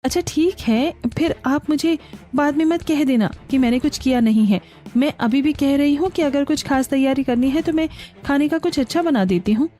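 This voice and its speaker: A woman voicing a young, eager, slightly stubborn daughter-in-law in an expressive cartoon family story. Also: high-pitched, moderate pace, voice pushed up, natural voice. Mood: eager, insistent.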